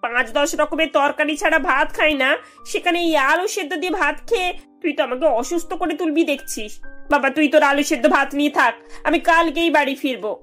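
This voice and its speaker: A woman's voice: high voice, brisk, a bit bright, soft background music. A woman voicing a scolding, demanding elderly village aunt in a cartoon, with a nagging, sarcastic way of talking. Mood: disgruntled. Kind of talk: animated cartoon dialogue.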